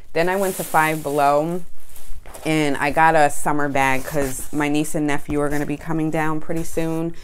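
A woman in her thirties chatting casually in a home vlog, relaxed and rambling, with frequent "um" and "y'all", recorded on a lapel mic. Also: sits low, clear, resonant tone. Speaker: vlogger and candle shop owner. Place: small home room with shelves. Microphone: clip-on lapel mic.

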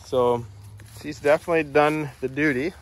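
A man in his forties hosting a casual hobby vlog, talking viewers through his work in a relaxed, chatty way from a sunroom at home. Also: a little high, moderate pace. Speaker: vlog host. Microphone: camera at a distance.

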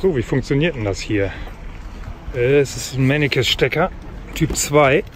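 A man's voice: medium pitch, animated, moderate pace. A man in his forties chatting casually through a travel vlog, explaining things as he goes and thinking aloud. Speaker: vlogger. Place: outdoors by a car park and in a car. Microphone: phone camera at arm's length. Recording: loud outdoor noise.

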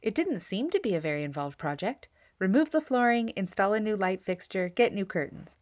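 A woman's voice: mid-range voice, lively intonation, a little fast, a little mellow. Woman narrating a home renovation story. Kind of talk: scripted voice-over narration.

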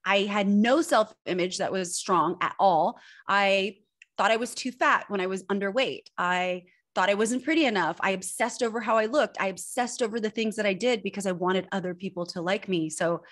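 A woman's voice: mid-range voice, moderate pace, fairly bright tone. A woman in her thirties with an American accent, speaking candidly and expressively as an interview guest in a conversational, storytelling style. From Northern California, United States. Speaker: guest, personal branding image expert. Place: indoor room at home. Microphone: video call microphone.

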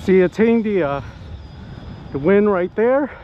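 A man with a high-pitched voice gives casual, excitable commentary while flying as a hobby pilot. He talks unhurriedly, addressing viewers as he goes. The outdoor recording is slightly muffled. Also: unhurried. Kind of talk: casual live flight commentary. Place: outdoors at a flying spot in wind and rain. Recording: muffled outdoor audio with background noise.

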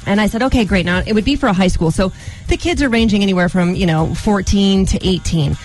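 A woman's voice: mid-range voice, fast, darkish tone, soft background music, low-quality recording. A woman with an American accent co-hosting a radio show in casual, insistent banter. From Colorado, United States. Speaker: radio morning show co-host.

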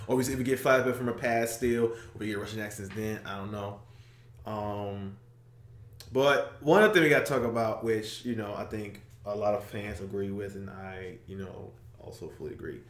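A young man hosting a casual movie reaction chat, excitable and animated, sometimes trailing off into low mumbles, recorded on a webcam in a small room. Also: moderate pace, echoey room. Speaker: reaction video host. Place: small room indoors, seated.

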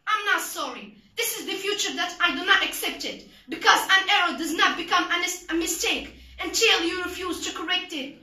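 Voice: very high-pitched, a little fast, few pauses. A teenager performs a rehearsed spoken-word monologue in an earnest, declamatory manner. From Morocco. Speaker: student performer. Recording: echoey, distant mic, loud music behind. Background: loud backing music.

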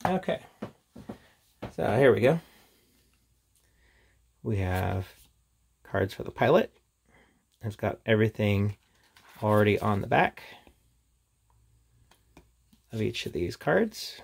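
A man's voice: fairly low pitch, lively intonation, takes it slowly, creaky, long pauses, husky. A man giving a casual, off-the-cuff product review in an informal, chatty way as he presents. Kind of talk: casual unboxing and review chat.

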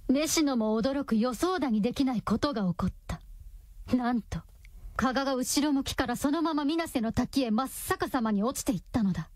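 A woman's voice: high-pitched, expressive, normal speed, darkish tone, no echo. A woman delivering dramatic, scripted narration for a radio drama.

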